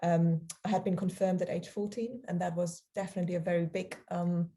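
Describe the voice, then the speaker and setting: A woman's voice: on the low side, steady tone, a little fast, warm tone. A woman, answering interview questions in a hesitant, casual way with many ums and easy laughter. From Germany. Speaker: guest, charity founder.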